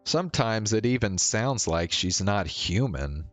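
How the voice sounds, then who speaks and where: A man reading a visual novel aloud as a streamer, in measured narration with the odd stumble. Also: lowish voice, animated, a bit bright. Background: faint music.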